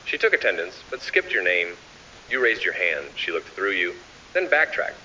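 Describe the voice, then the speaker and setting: A man narrating scripted analog horror, addressing the listener in an ominous, deliberate and measured manner. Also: low-pitched, big pitch swings, bright, forceful tone. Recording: dull lo-fi, distant, hissy.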